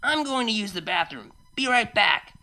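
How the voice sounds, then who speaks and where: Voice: mid-range voice, animated, moderate pace, pushed and bright, pitched above the performer's own voice. A reader voicing a brash, hot-headed, protective pegasus mare in a story. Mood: casual.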